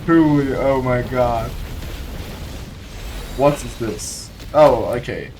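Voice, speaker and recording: A man giving casual, excitable gameplay commentary, often repeating his words. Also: medium pitch, slow, slight background noise. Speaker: gamer commentating play. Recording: game music behind. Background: video game music.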